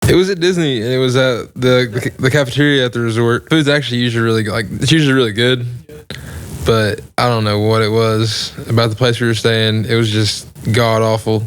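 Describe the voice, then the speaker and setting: A young man chatting casually as a podcast guest, halting as he searches for his words. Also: mid-range voice, steady tone, clean tone. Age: young adult. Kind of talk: casual chat.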